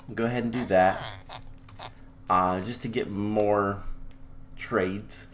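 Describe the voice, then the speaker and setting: A man giving relaxed, chatty commentary over a modded game, his voice a little off because he is coming down with a cold. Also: lowish voice, takes it slowly. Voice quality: a little off from illness. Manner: relaxed and chatty with many ums. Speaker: gameplay commentator. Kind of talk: casual let's-play commentary.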